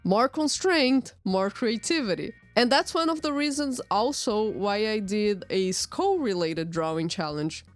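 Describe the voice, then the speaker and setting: A woman whose first language is Portuguese narrates a drawing video in a relaxed, wry and self-deprecating voice-over. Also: mid-range voice, unhurried, few pauses, faint music behind. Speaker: artist and channel host.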